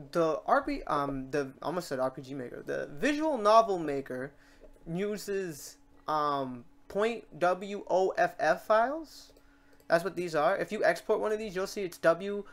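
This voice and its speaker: Screencast tutorial host: medium pitch, casual and chatty, informal step-by-step instruction.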